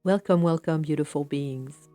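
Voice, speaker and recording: A woman host opens her podcast with a very low voice. She talks in a relaxed, chatty way, full of fillers. Soft music plays faintly beneath her. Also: normal speed. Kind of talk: podcast intro.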